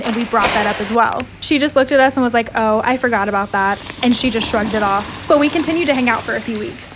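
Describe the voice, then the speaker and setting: High, quick young woman. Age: young adult. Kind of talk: casual first-person storytime. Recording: noisy background.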